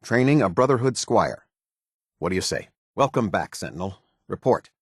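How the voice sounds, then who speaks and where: Husky, deep-voiced American military commander. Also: male voice, sing-song, normal speed, no room sound, studio quality, heavily acted. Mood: formal, approving. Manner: clipped, authoritative, formal. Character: stern, demanding middle-aged male military officer. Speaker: video game voice actor. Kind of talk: scripted game dialogue, military commander.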